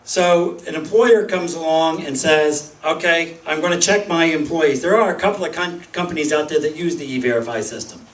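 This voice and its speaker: A man's voice: on the high side, normal speed, hardly any pauses, a bit bright. A man giving an informal talk to a meeting, with many restarts and emphatic lists. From Pennsylvania, United States. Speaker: speaker at a group meeting. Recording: echoey room, voice from a distance.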